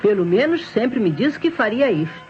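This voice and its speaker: A Brazilian actress playing a talkative, self-assured neighbour in a radio drama, explaining herself in a proper, insistent way. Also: female voice, fairly high pitch, sing-song, normal speed. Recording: dull old radio recording, music behind.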